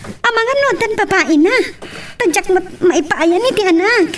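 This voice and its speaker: A woman's voice: extremely high pitch, expressive, normal speed. A woman with a Filipino accent playing a jealous, suspicious young wife in an audio drama. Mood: suspicious, pleading. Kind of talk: Ilocano radio-style drama acting.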